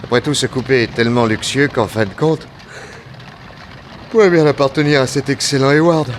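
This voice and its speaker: An actor playing a confident, bold detective chief who reasons deliberately in a French radio drama. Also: male voice, medium pitch, slight background noise, lightly acted. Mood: confident resolve. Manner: deliberate, reasoning, self-assured.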